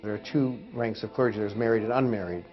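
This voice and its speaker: A man's voice: lowish voice, fast talker, soft background music. A man giving explanatory answers in an interview. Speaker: interviewee on Orthodox church life. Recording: dull, lo-fi interview audio.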